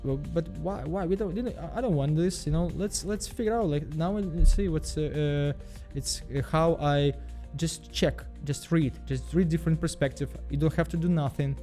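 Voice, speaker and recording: Man from Belarus, self-help vlogger, higher voice, soft tone, faint music bed. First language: Russian. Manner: halting, repeats words, frequent fillers. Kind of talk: casual self-help vlog monologue.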